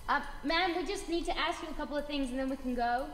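A woman's voice: high voice, quick pace, faint music behind, natural voice. A young actress playing a curious woman who asks polite, searching questions. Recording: echoey hall, faint noise.

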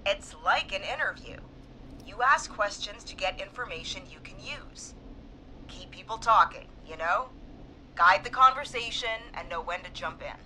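A woman's voice: mid-range voice, lively intonation, normal speed, bright, forceful tone, slight background noise, poor audio. A woman playing a frightened but determined police dispatcher in a video game. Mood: urgent, insistent.

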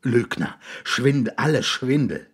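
A man's voice: medium pitch, expressive, a little slow, husky. A man voicing a desperate, hysterical man near breakdown in an audiobook, frantic and pleading. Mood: furious.

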